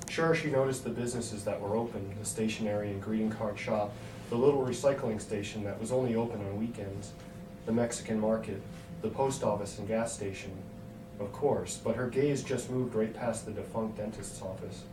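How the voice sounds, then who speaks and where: A man's voice: on the low side, fairly even intonation, moderate pace. A man reading a scripted story aloud as its narrator. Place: hotel room. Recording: echoey hotel room, distant mic, noisy.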